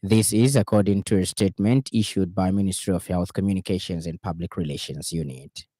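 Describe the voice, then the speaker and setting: A man with a Zambian accent reading a formal radio news bulletin in a measured, scripted delivery. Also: sits low, dark tone, dry recording, clean studio sound.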